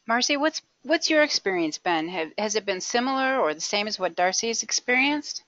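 A woman's voice: medium pitch, expressive, fairly quick, fairly bright tone, dry recording. A woman interviewer putting questions in a conversational way over a call. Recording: dull, band-limited call audio.

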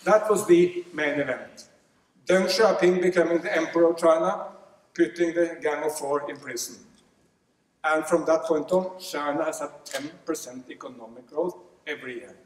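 A man's voice: on the high side, normal speed. A middle-aged man with a Norwegian accent giving a conference keynote at a podium, earnest and fond of gesturing, often restarting with fillers. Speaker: keynote speaker. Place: large lecture hall. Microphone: podium microphone, filmed from afar. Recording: echoey hall from far off.

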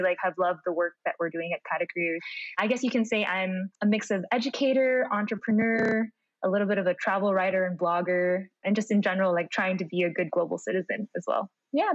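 A woman's voice: mid-range voice, no echo, muffled recording. A young woman from the Philippines, chatting as a podcast guest in an upbeat, talkative way. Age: young adult. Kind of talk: casual interview chat.

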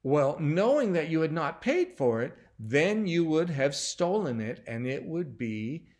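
Storybook mom, read by a man: unhurried, calm, patient, instructive, gently reassuring. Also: on the high side. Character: calm, reassuring mother teaching her children.

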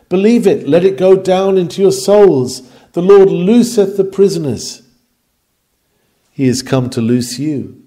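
A man's voice: fairly high pitch, mellow. A man reading an old sermon aloud in an earnest, declamatory manner with formal, old-fashioned phrasing. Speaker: reader of a historical sermon.

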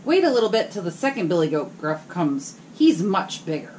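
A woman's voice: on the low side, sing-song, moderate pace, a little mellow, slight background noise. A woman voicing a small, pleading billy goat in a read-aloud fairy tale. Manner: reading a bedtime story aloud.

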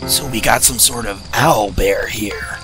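A man's voice: fairly low pitch, a little slow, lightly acted, rough recording. A man playing a matter-of-fact, skeptical monster hunter in an amateur audio drama. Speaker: voice actor. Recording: loud music over the voice.

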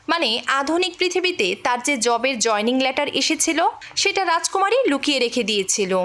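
A woman narrates a fantasy film recap. Her voice sits high in pitch. She reads from a script in a storytelling style, as a recap narrator. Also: fairly bright tone.

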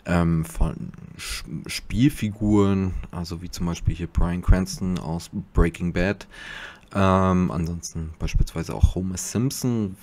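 A man's voice: low voice, darkish tone. A man giving a casual tutorial commentary as host, speaking into a desktop USB microphone.

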